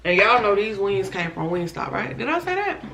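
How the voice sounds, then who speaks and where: Woman, hoarse, mid-pitched, unhurried mukbang host, casual chat, faint background noise. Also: big pitch swings, fairly bright tone, highs cut off. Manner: laid-back, talks to viewers as y'all between bites. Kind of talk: casual eating-show chat. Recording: echoey room.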